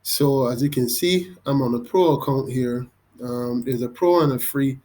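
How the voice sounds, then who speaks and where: A young man with a Barbadian accent, talking through his online business in a casual, hesitant way with many pauses and restarts. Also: medium pitch, dark tone. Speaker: vlogger. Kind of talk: casual screen-recorded walkthrough.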